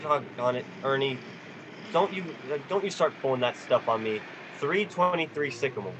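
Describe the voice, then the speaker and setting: A man's voice: medium pitch, animated, moderate pace, noisy background, close to an everyday voice. A man acting a desperate, stubborn family man in an amateur radio play. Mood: agitated.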